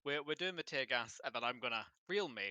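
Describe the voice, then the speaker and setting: A man with a bright, forward voice chats casually as a player in a tabletop game. His online audio is close and dry, with little room sound. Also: medium pitch, expressive, fast, clean tone, no room sound.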